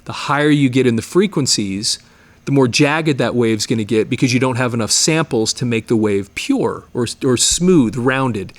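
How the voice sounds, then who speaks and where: A man's voice: mid-range voice, normal speed. A middle-aged man hosting his own channel, explaining audio in a rambling, casual way, full of restarts and repeated words. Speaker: channel host, media digitizing studio owner. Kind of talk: casual explanatory talk to camera.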